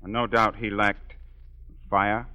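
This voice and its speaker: A man's voice: lowish voice, little pitch movement, slow, bright, forceful tone, lo-fi sound, exaggerated voice. A man acting a suave, sardonic opera singer and jealous suitor in a theatrical 1940s radio drama style. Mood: smug, ironic. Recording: 1940s radio broadcast, hall reverb, music.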